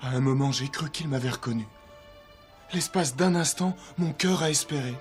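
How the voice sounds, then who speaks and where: Casting: male actor as wounded young fairy tale prince, formal and earnest, high register, over prominent score. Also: moderate pace, natural voice. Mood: wistful, hurt. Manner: formal, courteous phrasing. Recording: music behind the voice.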